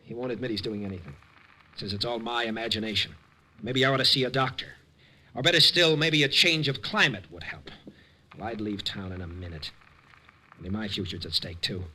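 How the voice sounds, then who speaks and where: A man's voice: lowish voice, fairly even intonation, a little fast, bright, forceful tone. An actor playing a desperate, rattled man in a 1950s radio drama, tense and protesting, stumbling over his words. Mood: bitter, desperate. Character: desperate, rattled man tormented by a rival at work. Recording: vintage radio recording with faint noise.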